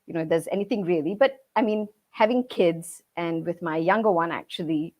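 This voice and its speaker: A woman's voice: on the low side, normal speed, highs cut off. A Singaporean woman in her forties, a guest in a casual interview, talking easily with many "you know"s. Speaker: guest, restaurateur.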